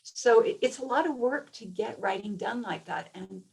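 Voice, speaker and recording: A woman from Minnesota giving a heartfelt personal reflection as a panelist, in a creaky, husky voice. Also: fairly high pitch, moderate pace, a little mellow. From Minnesota, United States. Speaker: writer, panelist.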